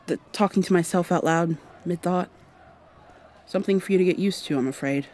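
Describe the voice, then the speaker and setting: Woman acting as brisk, awkward time traveler, noisy ambience. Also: on the low side, lightly acted. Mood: rambling, musing. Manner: rambling, hesitant, thinking out loud mid-sentence. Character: brisk, awkward time traveler, hurried yet chatty and wry. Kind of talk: ASMR roleplay, acted one-sided dialogue to the listener.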